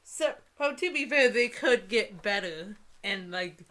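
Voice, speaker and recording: Woman joking around reading bad books out loud, giggly, sarcastic, medium pitched voice. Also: animated, measured pace. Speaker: co-host of a comedy book-reading video. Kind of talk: casual banter and mock dramatic book reading.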